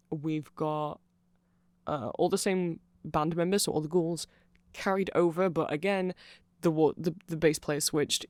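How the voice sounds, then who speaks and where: A man with a fairly high, crackly voice talks through an ASMR explainer. The recording is very dry. He rambles and corrects himself as he goes. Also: no echo. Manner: rambling, self-correcting, chuckling asides. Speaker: ASMR creator explaining band lore.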